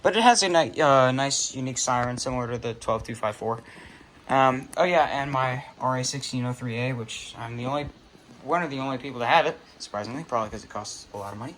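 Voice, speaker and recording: Bright-voiced man, halting and offhand. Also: mid-range voice, slight background noise, low-quality recording. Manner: halting, offhand, self-interrupting. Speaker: hobbyist video creator. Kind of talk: casual unscripted show-and-tell of a collection.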